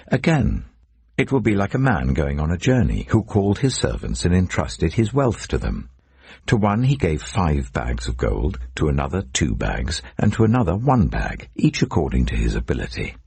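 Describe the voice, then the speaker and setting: A man narrating scripture dramatically, in a solemn, deliberate way. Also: rumbling low, vocal fry, a little mellow, husky, no echo. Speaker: Bible narrator.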